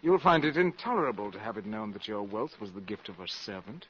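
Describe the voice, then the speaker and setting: An actor playing a calculating, ambitious valet in a 1950s radio drama, speaking formally and deferentially but with self-assurance. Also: male voice, on the low side, sing-song, a little fast, slightly rough. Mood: bold, intimate. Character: smooth, calculating, ambitious valet addressing a countess. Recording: muffled vintage 1950s radio recording.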